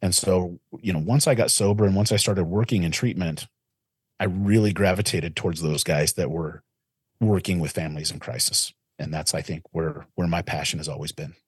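A man in his forties with a very low, husky voice. He speaks earnestly and reflectively, often circling back and restarting his sentences. The sound is dry and close, with no room around him. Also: steady tone, moderate pace, dry recording. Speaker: interventionist guest. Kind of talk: casual interview talk.

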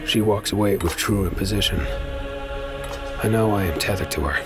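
A man playing a wary pirate who narrates his own tale in a science fiction audio drama, measured and brooding. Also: deep voice, loud music behind the voice, natural voice. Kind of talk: scripted first-person narration in audio drama.

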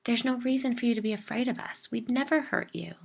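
A woman reading a story voices an anxious, defensive suburban woman who is haunted by a ghost and pleads in a high, strained voice. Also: fast, gravelly. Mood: earnest.

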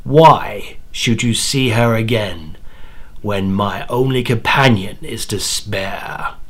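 A man's voice: lowish voice, slow pace. A man voicing a cruel, mocking demon spirit in a horror audio story, with a menacing delivery. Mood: cold, mocking.

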